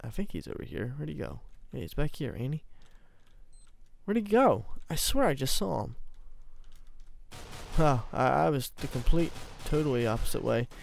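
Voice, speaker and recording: A young man doing casual gameplay commentary from a small room at home, his voice going hoarse, rambling and joking at himself with sudden loud laughing outbursts. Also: mid-range voice, very animated, moderate pace, long pauses, dry recording. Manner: rambling, self-mocking, bursts into loud laughing exclamations. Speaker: gaming YouTuber.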